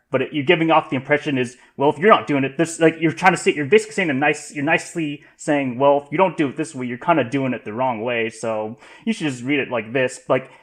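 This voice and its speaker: A man in a casual podcast discussion who talks haltingly, rambling and circling back to rephrase himself. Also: mid-range voice, a bit bright.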